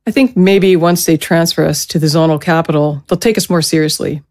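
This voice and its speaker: A woman playing a gentle, protective middle-aged mother in an audio drama, speaking in a soothing, careful way. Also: sits low, a little fast. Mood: gentle, steady.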